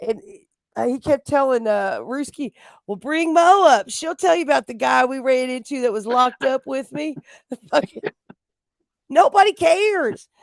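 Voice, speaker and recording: A woman's voice: sits high, animated, measured pace, creaky, no echo. A woman co-hosting a live stream, chatting loosely and swearing freely. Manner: laughs a lot, loose and profane. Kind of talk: casual live-stream chat.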